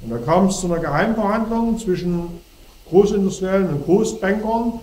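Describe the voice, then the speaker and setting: A man's voice: high-pitched, expressive, normal speed, a little mellow, slight background noise. A middle-aged man with a cold, lecturing to an audience while standing and gesturing. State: has a cold. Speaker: speaker. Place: darkened hall before a projection screen. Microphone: far camera. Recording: echoey hall from far off.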